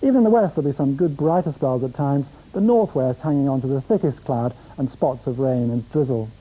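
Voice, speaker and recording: Very mellow British male weather forecaster. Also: mid-range voice, brisk, low-quality recording. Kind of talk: conversational weather forecast presentation.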